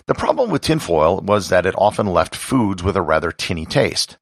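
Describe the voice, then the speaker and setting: A low-voiced American man reads scripted educational narration as a podcast host. His voice is husky and gravelly, and his pitch moves very widely as he lands key words with deliberate emphasis. The sound is close and dry. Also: very animated, dry recording. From Wisconsin, United States.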